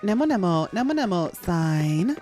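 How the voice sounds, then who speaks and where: A streamer with a high, squeaky, nasal voice talks to chat in a lively, sing-song way, pitch swooping up and down. Laughs come easily between casual remarks, and faint music plays behind. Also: moderate pace. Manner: chatty, animated, laughs often, talks to the game and chat.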